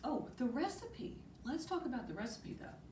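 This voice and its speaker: A woman in her forties, a youth librarian, welcoming children to a storytime video in a bright, upbeat way. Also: sits high, sing-song, moderate pace. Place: small room indoors on a sofa. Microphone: far camera. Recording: roomy, distant, noticeable hiss.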